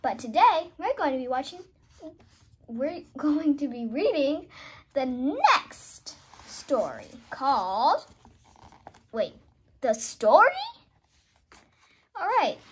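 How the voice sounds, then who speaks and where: Girl reading a kids storybook, high animated voice, bit hesitant, slight noise. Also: slow pace, pauses often. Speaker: host and narrator. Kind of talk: children's storybook read-aloud.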